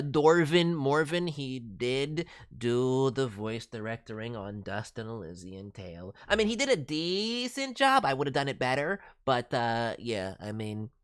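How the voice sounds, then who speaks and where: A performer voicing a cheeky, excitable non-human cartoon mascot who hosts a casual stream with boastful, playful word-mangling. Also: medium pitch, no echo, studio quality, lightly acted. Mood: cheekily boastful. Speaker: host reviewing voice demo reels. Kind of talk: casual live stream commentary.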